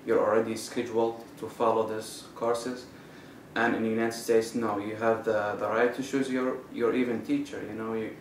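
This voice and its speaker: A young man from Saudi Arabia, a student, answering interview questions in a halting way with many fillers and restarts. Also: fairly low pitch, level tone. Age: young adult. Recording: roomy, distant mic with faint noise.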